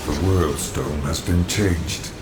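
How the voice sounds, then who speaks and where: Echoing deep solemn guardian spirit. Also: male voice, fairly even intonation, slow pace, background noise. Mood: grave. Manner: stately, solemn, commanding. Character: solemn ancient male temple guardian spirit, proud and grave. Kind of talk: video game voice acting. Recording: heavily echoing, distant, over game music.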